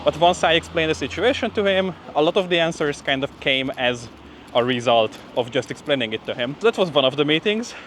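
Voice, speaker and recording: A man's voice: fairly high pitch, lively intonation, a little fast, a bit bright. A young man talking casually to camera while walking, slightly out of breath, with informal phrasing full of "like" and "you know". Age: young adult. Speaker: PhD student vlogger. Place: outdoors on a street, walking. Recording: clear outdoor street and traffic noise.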